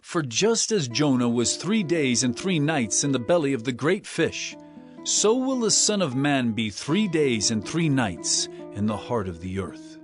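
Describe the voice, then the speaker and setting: An actor playing a stern, earnest male teacher with authority, declaiming emphatically in a dramatized scripture production. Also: mid-range voice.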